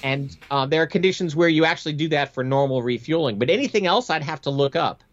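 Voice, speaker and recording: A man's voice: fairly high pitch, fast talker, muffled recording. A middle-aged American man, a former military aviator, telling his experiences conversationally as an interview guest in an emphatic, matter-of-fact way. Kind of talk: conversational storytelling.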